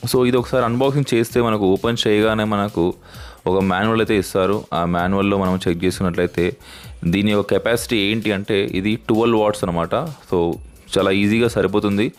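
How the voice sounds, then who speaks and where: A man narrates a casual unboxing and demo as the host, explaining in a repetitive way and mixing in English terms. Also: on the low side. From India. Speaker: product review host.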